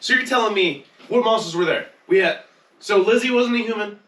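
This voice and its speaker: Bright-voiced, sweary man in echoey room. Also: high-pitched, brisk. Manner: heavily profane, slangy. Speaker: podcast panelist. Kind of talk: casual group banter. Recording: roomy echo, distant mic.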